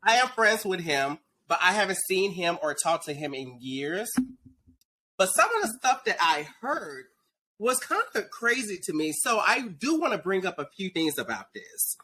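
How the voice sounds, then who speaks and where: A man's voice: high voice, very animated, moderate pace, bright, forceful tone, slight background noise, poor audio. A man in his thirties from Dallas hosting a casual interview show over a video call, teasing and gossipy in manner. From Dallas, Texas. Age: 30s. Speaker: podcast host.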